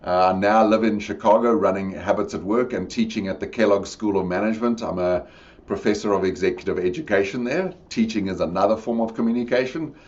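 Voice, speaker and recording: A man's voice: on the low side, fairly quick, darkish tone. A middle-aged man with a South African accent, speaking as a podcast guest in a reflective, expansive way. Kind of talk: conversational interview. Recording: dull lo-fi audio.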